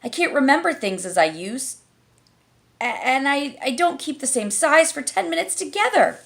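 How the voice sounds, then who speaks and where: A woman's voice: high-pitched, big pitch swings, normal speed, gravelly, a bit bright, voice pushed up, exaggerated voice. A woman voicing a timid, polite little girl who speaks hesitantly.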